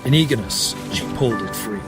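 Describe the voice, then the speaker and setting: Low-voiced male drama narrator over loud music. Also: lively intonation, brisk. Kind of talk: dramatic scripted narration of a sci-fi audio drama. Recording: prominent music bed under the voice.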